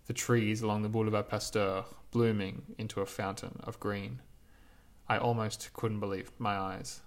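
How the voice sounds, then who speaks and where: Male narrator, author reading his own essay aloud, measured and lyrical, lowish voice. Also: flat delivery. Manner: measured, lyrical, unhurried delivery.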